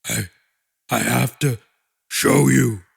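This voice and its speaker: A man voicing a dying hulking monster father in an audiobook, with gravelly, slurred, halting speech. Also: mid-range voice, fairly even intonation, voice pushed down, full character voice. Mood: weak, urgent. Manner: halting, stammering through pain.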